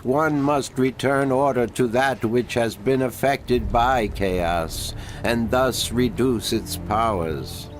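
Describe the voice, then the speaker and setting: A man voicing an ancient, wise being of a magical people in a fantasy game, speaking in a formal, deliberate, solemn way. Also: mid-range voice, natural voice. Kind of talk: fantasy game character dialogue.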